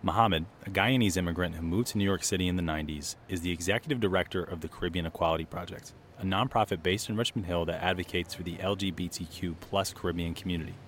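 A man reporter reading scripted news narration briskly. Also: sits low, a little hiss or hum.

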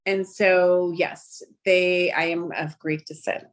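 A woman's voice: fairly low pitch, level tone, takes it slowly. A woman, a restaurant owner chatting in an interview, enthusiastic and full of "you know" fillers. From Brooklyn, New York. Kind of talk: casual interview chat.

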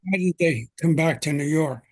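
An older man with a fairly high, husky voice hosts an interview podcast from his home. His speech is gentle and unhurried, with small hesitations, and the recording is close and dry. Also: no echo. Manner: gentle, unhurried questioning with fillers. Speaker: podcast host.

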